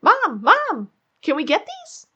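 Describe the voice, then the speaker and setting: A woman's voice: high-pitched, wildly swooping pitch, slow pace, sharp tone, voice pushed up, full character voice. A woman voicing an eager, defensive young boy rabbit in a storybook, emphatic and childlike. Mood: pleading.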